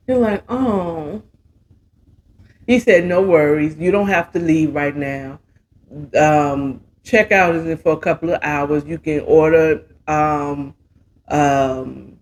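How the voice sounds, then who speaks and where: An American man hosting a show, casually retelling a romance story with dramatic, sassy asides and drawn-out exclamations. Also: on the high side, a little hiss or hum. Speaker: host of a story recap show.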